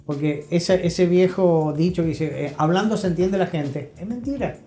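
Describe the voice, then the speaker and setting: An older man with an Argentine accent talks like a therapist being interviewed. His voice is soft-edged and mellow, his delivery didactic and emphatic. The room echoes, with faint music underneath. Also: sits high, moderate pace. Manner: emphatic, didactic, repeats phrases. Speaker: guest therapist. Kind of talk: reflective interview talk. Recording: roomy echo, faint music.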